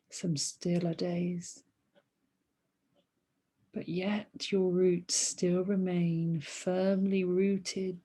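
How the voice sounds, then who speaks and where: A woman leading a guided meditation as a teacher, speaking softly and soothingly in short phrases with long pauses between them. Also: lowish voice, breathy edge. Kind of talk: guided meditation, body scan.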